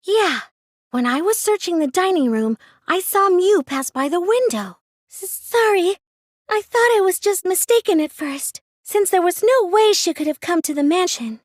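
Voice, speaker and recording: A woman's voice: very high-pitched, expressive, normal speed, dry recording, studio quality. A woman voicing a plain, meek, nerdy teenage girl in an anime mystery video game, speaking in a mild, hesitant, questioning way. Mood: thoughtful. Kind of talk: anime video game English dub acting.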